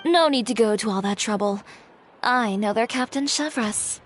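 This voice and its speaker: A woman's voice: fairly high pitch, moderate pace, lightly acted. A woman voicing a composed, matter-of-fact female designer and businesswoman in a video game. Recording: faint background music.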